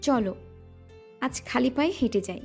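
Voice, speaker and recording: A woman's voice: sits high, animated, moderate pace, vocal fry. A female voice actor playing a shy, teasing young wife in an audio romance drama. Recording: music bed underneath.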